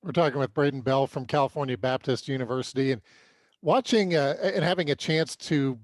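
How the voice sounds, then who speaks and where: A man's voice: medium pitch, a little mellow, dry recording, clean studio sound. A man hosting a sports podcast, interviewing in a conversational style. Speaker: podcast host interviewing a guest.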